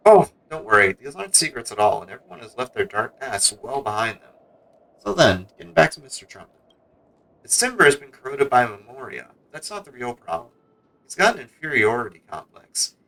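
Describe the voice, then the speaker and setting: Man playing talking cello, aging female shopkeeper, chatty, game dialogue, bright voice. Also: medium pitch, very animated, fairly quick, vocal fry, heavily acted, poor audio. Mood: confiding, fretful. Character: chatty, aging female floating cello, music shop keeper. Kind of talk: reading game dialogue aloud.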